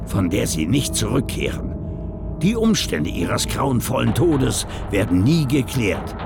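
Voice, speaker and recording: A man with a gravelly voice narrating a film trailer in a dramatic, portentous style. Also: medium pitch. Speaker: trailer announcer.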